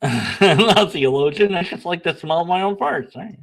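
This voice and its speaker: A man's voice: high-pitched, moderate pace, creaky. A young man hosting his own channel, reading out an email exchange with blunt, sarcastic, self-mocking commentary. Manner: animated, sarcastic, laughs at himself, bickers with himself; blunt, argumentative when reading his replies. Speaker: channel host. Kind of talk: casual solo commentary, reading emails aloud.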